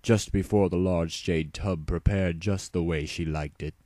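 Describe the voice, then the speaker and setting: A man's voice: low voice, steady tone, unhurried, mellow, dry recording. A man reading a story aloud as a dramatic, scripted audiobook narration.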